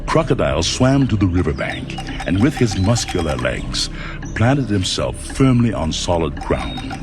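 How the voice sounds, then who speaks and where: A man with a low voice narrates a children's storybook. His delivery is dramatic and moves widely up and down. Music plays clearly behind him. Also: sing-song, unhurried. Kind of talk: scripted children's read-along narration.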